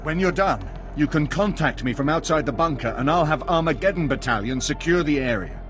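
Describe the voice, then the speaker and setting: Earnest, animated military spy. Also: male voice, a little high, a little fast, soft background music. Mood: earnest, deferential. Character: rattled, earnest male military spy for the Sith. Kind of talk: video game cutscene acting.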